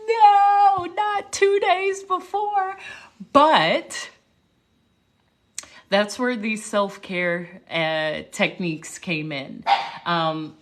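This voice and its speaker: Slow-talking woman on a livestream. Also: mid-range voice. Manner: unhurried, reflective, talks with pauses and restarts. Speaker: livestream host, motivational speaker. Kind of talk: casual livestream chat. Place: home livestream. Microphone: phone or webcam mic.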